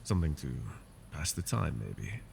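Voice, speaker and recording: A man voicing a wounded male dragon who keeps a human captive, soft-spoken and polite, often slipping into whispers, for an ASMR fantasy roleplay. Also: a bass voice, sing-song, normal speed, vocal fry, slight background noise, heavily acted. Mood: tender. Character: wounded male dragon, possessive yet doting captor.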